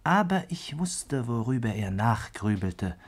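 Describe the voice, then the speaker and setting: A man playing a loyal, attentive gentleman companion who narrates and converses politely in a German radio play. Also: medium pitch, big pitch swings, unhurried, slightly rough. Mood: reflective narration.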